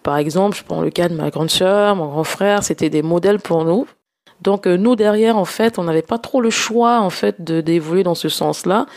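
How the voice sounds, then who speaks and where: A woman's voice: on the low side, moderate pace, a little mellow. A young woman from Gabon, a podcast guest, telling her career story in a hesitant way with many fillers and restarts. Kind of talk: casual personal storytelling.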